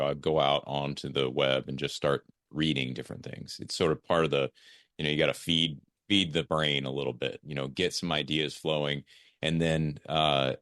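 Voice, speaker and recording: A man with a remarkably deep voice hosts a conversational interview. The sound is dry, with no room around it, as he puts questions and thoughts to his guest. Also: fairly bright tone, no room sound. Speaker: podcast host.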